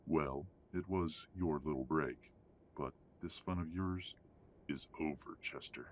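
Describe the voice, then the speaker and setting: A man playing a haughty, middle-aged werewolf patriarch in an audio drama, speaking in a formal, measured, condescending way. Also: very deep voice, a little hiss or hum. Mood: cold, smug. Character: haughty, domineering old-money werewolf patriarch father. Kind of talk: audio roleplay drama acting.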